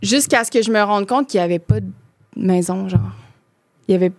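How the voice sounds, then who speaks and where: A woman's voice: mid-range voice, fairly quick, no room sound. Québécoise woman in her 30s chatting away, lots of euh and restarts, mid voice. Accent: Québécois French. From Quebec. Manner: chatty, many fillers and restarts. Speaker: podcast guest. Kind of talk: casual chat.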